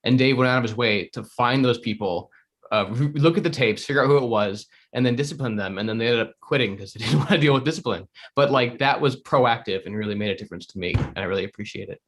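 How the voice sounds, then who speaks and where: A man's voice: mid-range voice, fast. A man at a meeting speaking casually in favor of a candidate, with many fillers. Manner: casual, filler-heavy talk. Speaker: meeting member. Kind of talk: short speech in favor.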